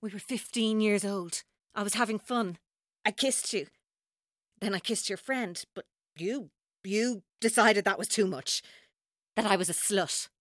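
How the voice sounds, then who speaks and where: A woman's voice: medium pitch, measured pace, a bit bright, no room sound, clean studio sound, lightly acted. A woman playing a tormented, vengeful captor in a radio drama, with an intense, taunting, accusatory delivery. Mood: wounded, threatening.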